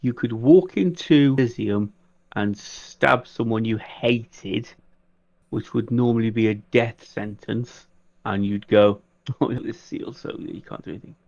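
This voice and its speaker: A man's voice: medium pitch, a little slow, vocal fry, a little mellow, lo-fi sound. A man playing a tabletop roleplaying game, talking casually with the other players.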